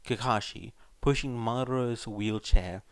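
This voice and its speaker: A man's voice: medium pitch, a little slow, clear, resonant tone, no room sound. A man reading a fan fiction story aloud as its narrator, in scripted narration.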